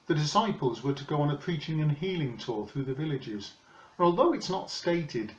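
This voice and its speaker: Older British preacher in an echoey room. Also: male voice, a little high. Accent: British English. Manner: calm, measured, earnest storytelling. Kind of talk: recorded home sermon. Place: living room, indoors. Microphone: camera in front of him, seated. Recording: roomy, slightly dull home recording.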